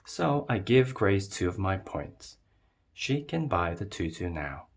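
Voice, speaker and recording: A man narrating a children's storybook as a read-aloud, with expressive delivery. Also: low-pitched, takes it slowly, creaky.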